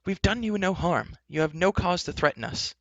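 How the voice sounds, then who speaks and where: Man acting a high-pitched, urgent young hero. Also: moderate pace, no room sound, muffled recording. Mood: angry, alarmed. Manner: urgent, protesting. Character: brave, hot-headed young hero, a pigkeeper. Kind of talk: storybook character reading.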